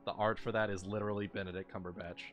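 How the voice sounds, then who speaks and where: Male game master, lower register, halting improvised fantasy narration, faint music underneath. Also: level tone. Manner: halting, repeats phrases. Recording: background music behind voice.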